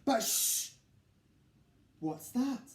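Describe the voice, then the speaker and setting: A man reading a picture book to children as an expressive storyteller, with theatrical emphasis and dramatic pauses. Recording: echoey room.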